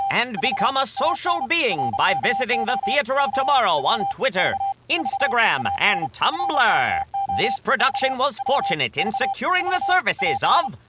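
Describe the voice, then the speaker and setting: A man announcing credits as a theatrical, formal old-time radio announcer, reading from a script. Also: very high-pitched. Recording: loud music under the voice. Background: loud music.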